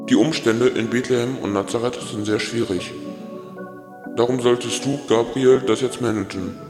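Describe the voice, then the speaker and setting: A man playing God in a radio nativity play, calmly giving a commission, with a cavernous reverb effect. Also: mid-range voice. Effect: long cavernous reverb. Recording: reverberant voice over new-age music. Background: new-age music.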